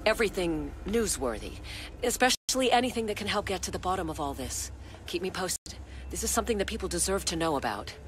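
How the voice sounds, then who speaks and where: An actress playing a plucky, pushy young news reporter in a video game, brisk, persuasive and teasing. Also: female voice, lowish voice, lively intonation, a little hiss or hum, lightly acted. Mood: insistent, teasing. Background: game music.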